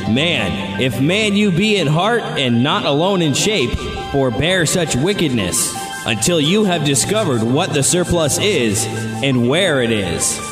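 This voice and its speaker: A man playing a grave, stern ghost in a Christmas radio drama, solemn and declamatory. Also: on the high side. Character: Ghost of Christmas Present, grave, stern, reproving male spirit. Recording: roomy long reverb under clear music.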